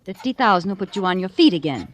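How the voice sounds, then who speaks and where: Cold scheming wife played by an actress, crackly old recording. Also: female voice, medium pitch, very animated, fast talker, natural voice. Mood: coolly menacing. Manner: calculating, insistent, persuasive. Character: cold, scheming politician's wife plotting betrayal and murder. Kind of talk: 1940s radio acting.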